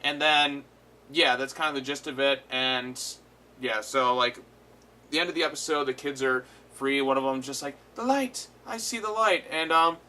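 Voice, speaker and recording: A man's voice: medium pitch, steady tone, moderate pace, bright, forceful tone. A man giving a casual, unscripted episode review as host, retelling it animatedly with frequent swearing. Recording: clear background noise.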